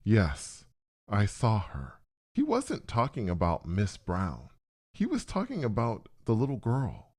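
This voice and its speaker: A man narrating a scripted first-person story in a dramatic, deliberate way with pauses. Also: sits low, a little mellow.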